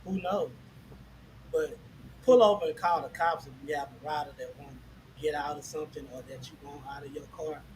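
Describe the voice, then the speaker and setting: A man co-hosting a casual podcast, reasoning out his views in a measured way. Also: a little high, fairly even intonation, a little hiss or hum, poor audio. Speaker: podcast co-host. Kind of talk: casual chat.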